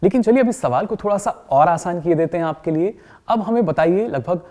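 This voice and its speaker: Man acting as an animated, encouraging workshop trainer. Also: sits high, fairly quick. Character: encouraging male trainer of company directors, patient and instructive. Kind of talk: scripted training-session lecture with questions to the group.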